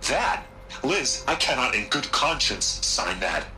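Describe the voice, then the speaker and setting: An actor playing an evasive, wheedling corporate tycoon in a video game cutscene. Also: male voice, medium pitch, big pitch swings, unhurried, pushed and bright, faint music behind, full character voice. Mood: pleading. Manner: defensive, wheedling, flustered. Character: rich, evasive male tech tycoon, middle-aged. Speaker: corporate executive.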